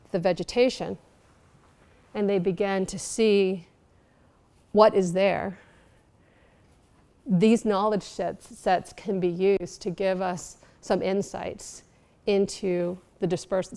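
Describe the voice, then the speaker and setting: A middle-aged woman giving a conference lecture as keynote speaker, halting and emphatic, leaning on key words. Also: mid-range voice, gravelly, mellow. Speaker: keynote speaker, architect and researcher. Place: large lecture auditorium, speaking from a lectern on stage.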